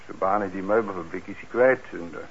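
Deep-voiced, reassuring official. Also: male voice, vocal fry. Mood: matter-of-fact. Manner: measured, conversational, explanatory. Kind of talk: radio drama acting. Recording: dull, noisy old radio recording.

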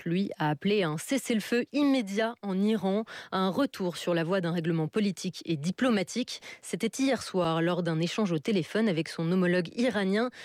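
A woman's voice: medium pitch, darkish tone, dry recording, studio quality. A woman presenting a scripted radio news bulletin.

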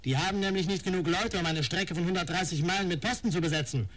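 An actor playing a cold, menacing blackmailer in a German radio crime drama, giving curt, taunting commands. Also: male voice, high voice, fast, a bit bright.

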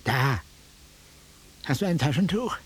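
Raspy, irritable city man. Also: on the high side, expressive, a little slow. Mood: grudging, strained. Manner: curt, sarcastic. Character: irritable, sceptical city-bred man, dry and put-upon. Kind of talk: German radio drama acting.

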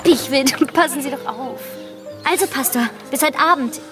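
An actress playing a coquettish, commanding young baroness, teasing and genteel, in a radio play. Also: female voice, high-pitched, moderate pace, over music, close to an everyday voice. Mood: coquettish, insistent.

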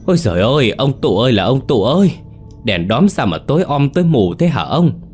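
A man narrating and voicing a grief-stricken elderly grandmother, crying out in shrill laments. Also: very animated, a little fast, a little mellow, soft background music, natural voice. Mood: distraught.

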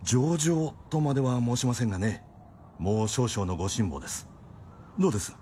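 An actor playing a composed, paternal doctor in a radio drama, speaking in a measured, avuncular way. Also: male voice, medium pitch, mellow, slight background noise, lightly acted. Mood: courteous. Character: composed male doctor, paternal and drily familiar.

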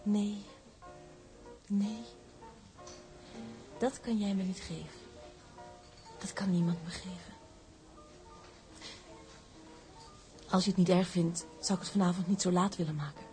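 An actress as an anxious, earnest sweetheart. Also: female voice, on the low side, steady tone, moderate pace, long pauses, darkish tone, soft background music, close to an everyday voice. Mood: sad, resigned. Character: anxious, earnest young woman, troubled sweetheart of a tycoon. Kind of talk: acted period drama dialogue.

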